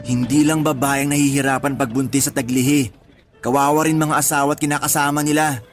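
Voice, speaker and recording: A man's voice: medium pitch, level tone, brisk, faint music behind, close to an everyday voice. An actor playing an exasperated man who complains about his pregnant partner in colloquial radio drama dialogue. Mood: weary, puzzled.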